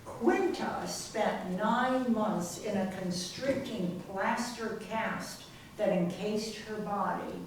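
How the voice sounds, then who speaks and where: A woman's voice: mid-range voice, slow pace. A woman narrating a scripted readers theater piece. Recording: very echoey hall, far mic, faint noise.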